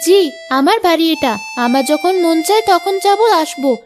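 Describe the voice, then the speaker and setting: A woman's voice: very high voice, moderate pace. A woman voicing a blunt, gluttonous, demanding grown daughter in a cartoon. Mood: indignant. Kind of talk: Bengali cartoon dub. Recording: music behind the voice.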